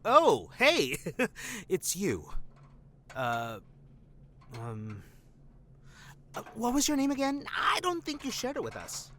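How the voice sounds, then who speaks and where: An actor playing a cocky, playful undercover operative in an audio drama, with a theatrical, teasing delivery. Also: male voice, sits high, huge pitch swings, fairly bright tone, slight background noise, exaggerated voice. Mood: playful, showy. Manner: theatrical, joking, drawn-out delivery.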